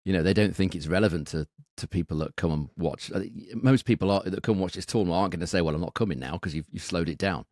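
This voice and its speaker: Co-host with a very low voice, halting and repetitive, dry recording. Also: male voice, a little mellow, no echo. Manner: hesitant, repeats words, thinks aloud. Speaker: podcast co-host. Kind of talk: casual betting analysis.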